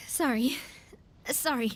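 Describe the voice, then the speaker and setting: High-voiced, earnest young woman. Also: drawn-out words. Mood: wistful, apologetic. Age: young adult. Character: earnest, gracious young woman, kind-hearted leader. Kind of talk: video game voice acting. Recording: faint music behind the voice.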